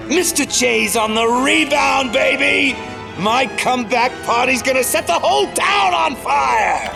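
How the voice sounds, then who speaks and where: Crazy gloating clown supervillain for an audio drama, super animated, mocking, music behind him. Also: male voice, extremely high pitch, measured pace, vocal fry, shouting, exaggerated voice. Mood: manic glee. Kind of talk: audio drama villain acting.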